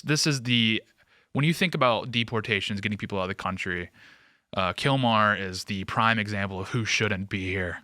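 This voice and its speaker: Male commentator, lowish bright voice, clear and close-up dry sound, casual sarcastic riffing. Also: no echo. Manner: sarcastic, mocking. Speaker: guest host of a political commentary show. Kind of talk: casual off-the-cuff political commentary.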